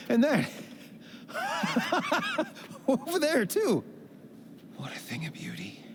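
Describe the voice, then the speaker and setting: An actor playing a hapless, lonely, self-pitying adventurer in a video game, with a folksy, rueful way of talking. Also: male voice, sits high, slight background noise, heavily acted. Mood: awed. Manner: folksy, colourful exclamations, rueful. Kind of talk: video game cutscene acting.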